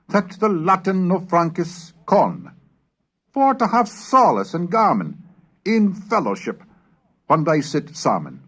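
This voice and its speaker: A man reading scripted lectures and old verse in a measured, scholarly manner, as a lecturer with a husky voice. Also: sits high. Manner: measured, scholarly, declamatory when reciting. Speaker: lecturer and reader. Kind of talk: scripted narration on English language history, with Middle English recitations.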